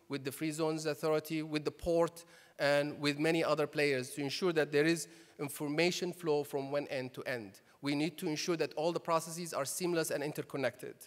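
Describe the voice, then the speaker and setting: Man, rather high voice, emphatic conference speech, long hall echo. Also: a bit bright. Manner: rhetorical questions, emphatic. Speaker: conference speaker and chairman. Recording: reverberant hall, PA.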